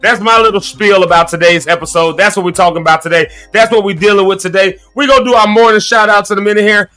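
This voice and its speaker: A man's voice: sits high, fairly even intonation, fast, sharp tone, clear, resonant tone. A man in his thirties hosting a casual morning livestream, talking straight to his viewers in a folksy, preachy way and fighting a cough. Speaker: morning show host. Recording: faint music behind.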